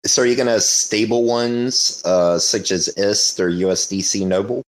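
Low-pitched guy, congested and raspy from a cold, playful casual chatter. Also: moderate pace, low-quality recording. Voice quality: rough, raspy voice. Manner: playful, breaks into pirate growls. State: sick with a cold, sore throat, stuffed up. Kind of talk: casual chat.

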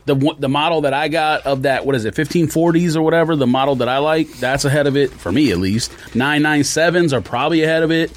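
A man's voice: on the high side, fast, few pauses. A man co-hosting a podcast, chatting casually and stating his views in a matter-of-fact, firm way. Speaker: podcast co-host. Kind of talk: casual back-and-forth sneaker chat.

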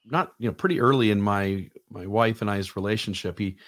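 A man's voice: fairly low pitch, fairly quick. A man hosting a casual podcast chat, talking in a loose, conversational way with frequent restarts. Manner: conversational, restarts, quotes others animatedly.

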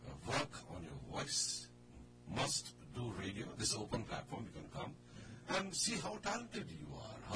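A man co-hosting casual radio talk, emphatic and encouraging. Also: lowish voice. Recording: distant mic, loud noise.

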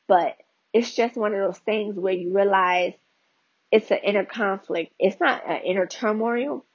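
A woman's voice: mid-range voice, vocal fry, husky, no room sound, highs cut off. A woman podcast host giving a conversational devotional teaching, talking straight to her listeners with frequent "you know" and "right?" asides.

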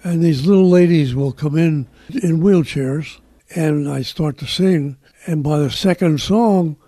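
A man's voice: fairly high pitch, unhurried, mellow, dry recording. A man in his nineties casually reminiscing as an interview guest. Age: 90s. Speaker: interview guest, amateur senior-circuit singer.

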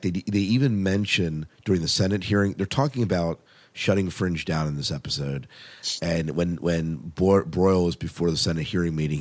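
A man hosting a podcast, chatting casually and enthusiastically about television as a keen fan. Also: deep voice. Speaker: podcast host. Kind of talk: casual TV review chat.

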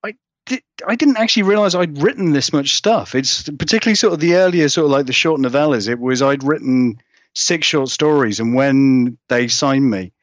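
Male, author guest, casual rambling chat, self-deprecating, mid pitch, dry muffled lo-fi audio. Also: normal speed, no room sound. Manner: rambling, hedging with frequent "sort of", self-deprecating. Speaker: guest, horror fiction author. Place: remote call from another country.

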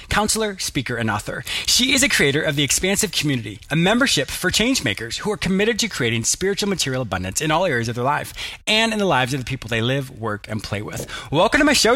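Male podcast host, animated pitch, quick talker, dry close sound, enthusiastic. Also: no echo. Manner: enthusiastic, laughs often, affirming. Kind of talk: interview hosting.

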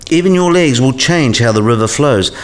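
Radio play professor, male actor as old, kindly, eccentric philosopher, mid-pitched, swooping intonation, teaches by musing aloud. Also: animated, normal speed, close to an everyday voice. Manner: musing, didactic. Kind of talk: scripted radio play dialogue.

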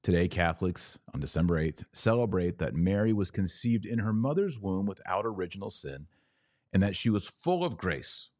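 Deep-voiced, animated man explaining religion. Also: moderate pace, no echo, studio quality. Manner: emphatic, repeats key words for stress, rhetorical questions. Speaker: podcast host.

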